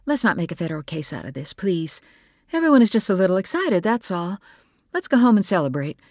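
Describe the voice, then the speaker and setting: A woman putting on a gushing high-voiced mother. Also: very animated, no room sound, studio quality. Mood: coaxing. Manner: gushing, rapid, high-strung. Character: eager, fluttery middle-aged mother.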